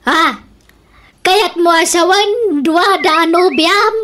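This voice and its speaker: A woman's voice: very high voice, slow, a bit bright, exaggerated voice. A woman playing a suspicious older sister who scolds and questions her brother in a comedic radio drama.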